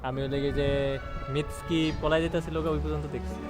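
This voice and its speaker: A man live-streaming a game, chatting rapidly and offhandedly with frequent swearing. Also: a little high, level tone. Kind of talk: casual gaming stream chat. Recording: stream mic over game music.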